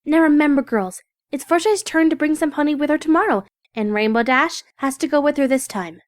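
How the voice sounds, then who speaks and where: A woman's voice: high voice, fairly quick, no echo, clean studio sound, lightly acted. A woman voicing an earnest, bossy, bookish young female pony in a storybook, instructive and emphatic. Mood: insistent. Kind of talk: audio storybook character acting.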